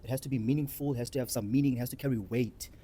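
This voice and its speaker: A man's voice: medium pitch, steady tone, rapid-fire, mellow, a little hiss or hum. A young man with a South African accent hosting a casual discussion, telling personal stories with emphatic repetition. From Cape Town, South Africa. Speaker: discussion host and participant.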